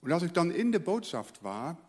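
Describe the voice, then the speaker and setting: A male pastor from the Netherlands, a native Dutch speaker, preaching earnestly and letting his phrase endings fall quiet. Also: fairly high pitch, very animated, normal speed, slightly rough, big-room sound. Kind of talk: sermon.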